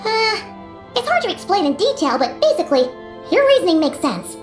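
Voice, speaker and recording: Game fairy sidekick: childlike, chatty, squeaky, very animated, roomy sound over music. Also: female voice, brisk. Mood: explaining. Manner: speaks of self in third person, expressive. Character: small childlike floating fairy companion, perky and chatty. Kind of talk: video game character dialogue.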